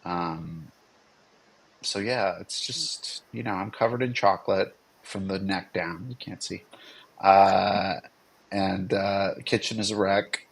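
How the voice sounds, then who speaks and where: A man's voice: deep voice, steady tone, slow, creaky, husky. A man co-hosting in a casual, unscripted chat, talking in a rambling, jokey way. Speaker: co-host and game developer.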